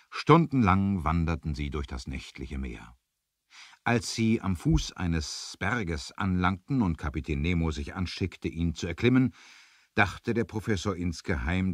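A man's voice: deep voice, no echo, studio quality. A man narrating an adventure radio play from a script in a dramatic storytelling manner.

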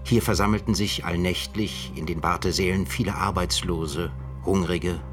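A male actor as a grave middle-aged philosopher reading from his own book in a radio play. The voice is very deep and solemn, lecturing in literary prose over faint music. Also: fairly even intonation, moderate pace, close to an everyday voice. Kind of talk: literary scripted radio drama narration.

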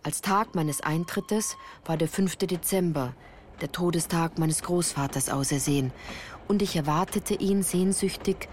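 An actress playing an earnest woman recalling her girlhood in measured, literary radio-play narration. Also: on the low side, a little mellow, a little hiss or hum, natural voice. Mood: earnest, reflective.

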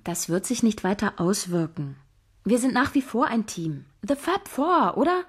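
A woman's voice: mid-range voice, very animated, moderate pace, breathy edge. An actress playing an ambitious female scriptwriter, casual and persuasive in naturalistic radio drama dialogue. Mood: casually confident. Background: music.

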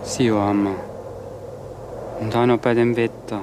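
An actor playing an inquisitive security guard, making unhurried small talk in a radio drama. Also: male voice, medium pitch, flat delivery, background noise, natural voice. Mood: mildly curious.

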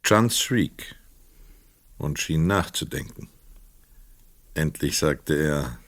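An actor narrating a crime story in a radio play in a measured, precise manner. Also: male voice, a bass voice, lively intonation, fairly bright tone, dry recording, studio quality, heavily acted. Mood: measured, quiet.

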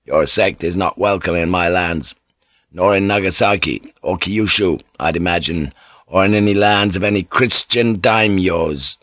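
A man's voice: low voice, measured pace, a bit bright, dry recording. A man doing a stern old samurai lord. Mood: cold. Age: 60s.

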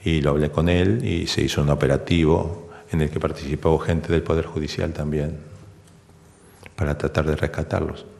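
Very deep, gravelly older Argentine man. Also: flat delivery, moderate pace, a little mellow. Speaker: interviewee giving testimony. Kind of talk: halting spoken recollection. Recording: long reverberant room sound.

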